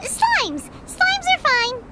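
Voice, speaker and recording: A woman voicing a tiny, childlike, feisty and excitable fairy companion in a cartoonish video game, with a squeaky voice. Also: extremely slow, music in the background, exaggerated voice. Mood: doubtful, alarmed. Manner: squeaky, indignant, refers to self in third person.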